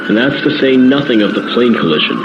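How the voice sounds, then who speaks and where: On a muffled, noisy field link, a grave reporter played by a man gives a live report. He is earnest and articulate, with lively pitch movement, in the style of a news audio drama. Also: brisk, natural voice. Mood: grave, concerned.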